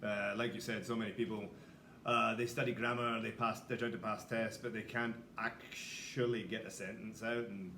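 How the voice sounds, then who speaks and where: A man, an English teacher, interviewing a colleague in a relaxed, joking way. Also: fairly low pitch, normal speed. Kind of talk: casual interview. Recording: roomy with faint noise.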